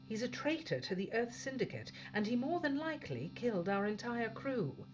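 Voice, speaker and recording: A woman's voice: fairly high pitch, big pitch swings, normal speed, dull audio, a little hiss or hum. A woman playing a blunt, authoritative female spaceship captain in an audio drama. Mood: wary, determined. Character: tough, commanding female captain of a failing spaceship. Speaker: actor playing a ship's captain.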